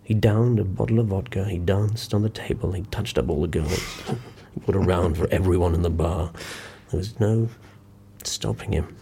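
An actor playing a sombre man recounting an anecdote in an audio drama, hushed and unhurried, with dramatic pauses. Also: low voice, gravelly, a little hiss or hum. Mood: wry, building. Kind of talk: audio drama acting, confiding storytelling.

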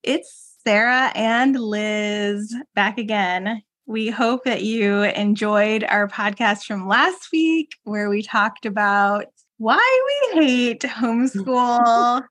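A woman with a fairly high voice co-hosts a podcast. She talks in a relaxed, chatty way, with fillers, restarts and easy laughter. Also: slow. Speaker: podcast co-host. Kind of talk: casual podcast chat.

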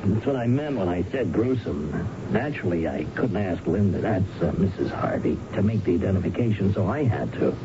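An actor playing an evasive, bereaved American man in a radio drama, explaining himself in a halting way. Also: low-pitched, lively intonation. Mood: uneasy explaining. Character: evasive bereaved brother living on a ranch. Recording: dull, noisy 1970s radio broadcast recording.

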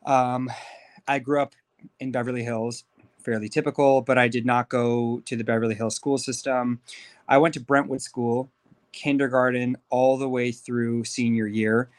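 Male podcast guest, 30, American accent, mid-pitched voice, informal and candid personal storytelling. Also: little pitch movement, moderate pace. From Los Angeles, United States. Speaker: podcast guest, life coach. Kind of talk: conversational personal life story.

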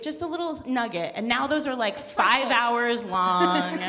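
A woman's voice: on the high side, fairly bright tone. A woman co-hosting a podcast, chatting casually and jokily at a live panel. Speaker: podcast co-host. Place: large indoor event room. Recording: echoey hall, live room microphone.

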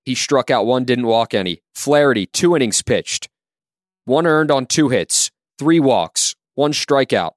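A man co-hosting a sports podcast, methodically reading through pitchers' stat lines. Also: measured pace, dry recording, clean studio sound. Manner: steady, listing numbers methodically. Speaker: co-host.

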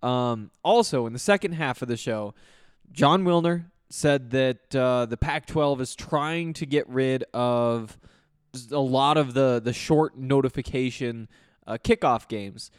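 A young man, slightly hoarse after losing his voice, hosting a casual solo sports podcast and rambling with lots of fillers. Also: medium pitch, measured pace, no room sound, studio quality. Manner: rambling, full of fillers and restarts.

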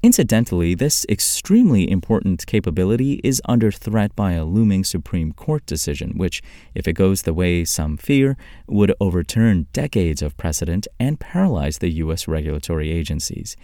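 A man narrating a scripted tech news article as a podcast host, in a clearly articulated, measured read-aloud delivery. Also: low-pitched, very animated, dark tone, no room sound, clean studio sound.

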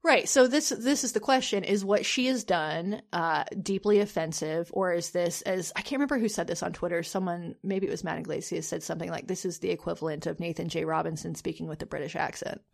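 Low, gravelly-voiced woman podcast host. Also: fairly quick, few pauses. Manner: rambling, many fillers and restarts. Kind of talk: casual chat.

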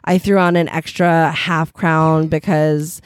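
A woman co-hosting a casual chat show, talking in a playful, animated way. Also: deep voice, takes it slowly, smooth, no echo, studio quality. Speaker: co-host.

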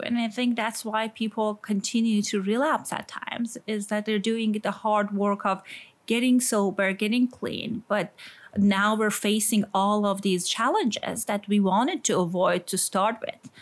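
A woman with a Persian accent, a psychologist and sex therapist, answering interview questions thoughtfully, often pausing with "kind of" and "like". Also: mid-range voice. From Iran. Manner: thoughtful, many fillers like "kind of" and "like".

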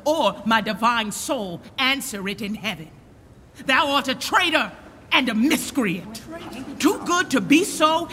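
A woman's voice: high-pitched, sharp tone, slight background noise, heavily acted. A woman playing a proud, wrathful young nobleman, declaiming scornful accusations in Shakespearean verse. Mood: defiant challenge. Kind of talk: Shakespearean verse drama acting. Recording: long echoing hall reverb.

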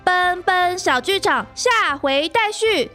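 Female podcast host, Taiwanese Mandarin accent, high cheerful voice, casual chat over music. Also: animated, takes it slowly, bright, forceful tone.

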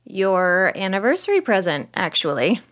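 An actress playing a driven, guilt-haunted ER doctor in an audio drama, speaking in a deliberate, confessional way. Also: female voice, medium pitch, very animated, fairly bright tone. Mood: defensive, subdued. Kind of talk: audio drama acting, first-person narration and dialogue.

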